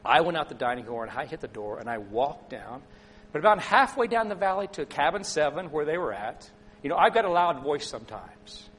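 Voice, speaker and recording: A middle-aged man preaching a sermon, telling a personal story and asking the congregation questions, sometimes loud and sometimes soft. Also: a little high, very animated, fairly quick, a little hiss or hum. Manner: conversational, asks the congregation questions, swings between loud and soft. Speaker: pastor.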